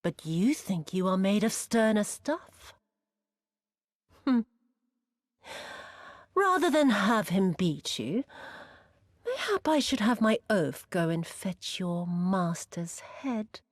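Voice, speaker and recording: An actress playing a cruel, vengeful woman in a video game, mocking and imperious, with a theatrical, drawn-out delivery that sinks into breathy whispers. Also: medium pitch, very animated, dry recording, studio quality. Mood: cold menace. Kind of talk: dramatic video game cutscene acting.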